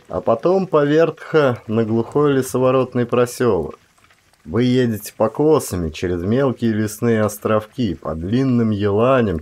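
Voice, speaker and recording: Low-voiced man narrating an audiobook. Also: a little mellow. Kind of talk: expressive literary audiobook narration.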